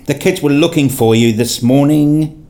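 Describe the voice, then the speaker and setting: A man's voice: a little high, moderate pace, dark tone, slight background noise, close to an everyday voice. An actor playing a curious, wry young male academic with articulate, bantering delivery in a radio play. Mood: friendly, inquisitive. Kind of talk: radio play dialogue and first-person narration.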